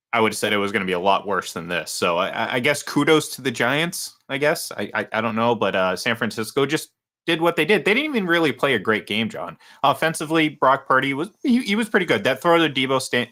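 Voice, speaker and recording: A man's voice: fairly low pitch, pushed and bright. A man in his thirties co-hosting a casual sports talk show, rambling with many restarts and fillers. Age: 30s. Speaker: sports radio co-host.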